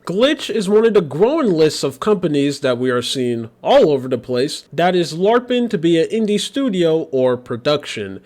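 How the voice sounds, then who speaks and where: Young guy doing a snarky commentary vid, voice sits kinda high, lots of mock hype. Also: darkish tone. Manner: sarcastic, mocking. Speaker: commentary youtuber. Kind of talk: scripted commentary video essay.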